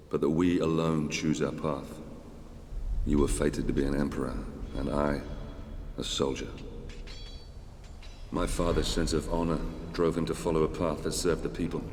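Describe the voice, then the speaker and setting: Honourable Roman soldier, game drama: extremely low, gravelly, grave and measured, in a reverberant hall. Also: male voice, level tone, darkish tone, a little hiss or hum. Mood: solemn, resolute. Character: stoic, honourable Roman soldier telling his life story. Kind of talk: dramatic video game acting.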